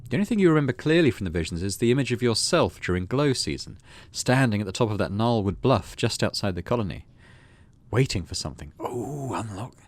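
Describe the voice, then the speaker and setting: Animated, slightly low-voiced man narrating a game. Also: brisk. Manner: expressive storyteller reading with asides. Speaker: gaming channel host. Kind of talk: reading game narration aloud with casual commentary.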